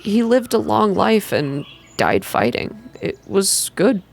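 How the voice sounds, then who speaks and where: A woman voicing an earnest, plain-spoken male forest guide in a story game, measured and sincere. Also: medium pitch, very animated, creaky, exaggerated voice. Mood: solemn, sincere.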